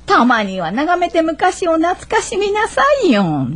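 Fussy, unhurried mother. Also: very high-pitched, huge pitch swings, full character voice. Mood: fussing, nostalgic. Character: fussy, nostalgic mother of a grown son. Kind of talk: naturalistic radio drama acting.

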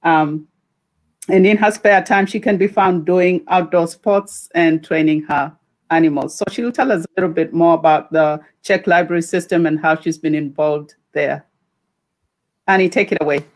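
Low-voiced woman moderating a call. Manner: informal, with fillers and pauses. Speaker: conference moderator. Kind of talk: introducing a speaker.

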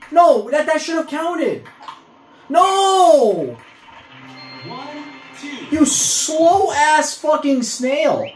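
A young man giving casual gameplay commentary, cursing and breaking into frustrated outbursts at the game. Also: very high voice. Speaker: gaming video creator. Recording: roomy echo with faint game music.

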